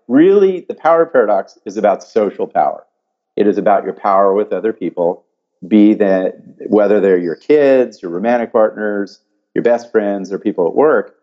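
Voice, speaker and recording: An older man from California, explaining research in an animated, thoughtful way and often restarting his sentences. His voice sits low and sounds mellow. The audio is dull and lo-fi. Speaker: psychology professor and author. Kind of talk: interview answers.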